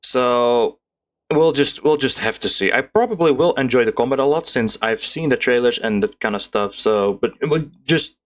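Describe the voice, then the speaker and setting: A man's voice: mid-range voice, normal speed. A young man giving casual let's play commentary, rambling informally and correcting himself as he goes. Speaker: gaming video host. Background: game music.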